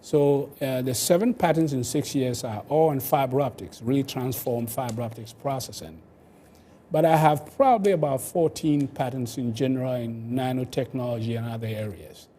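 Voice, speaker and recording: Older Ghanaian-accented male expert, mellow mid-range voice, explanatory interview delivery, some background noise. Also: mid-range voice, moderate pace. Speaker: inventor, interview guest.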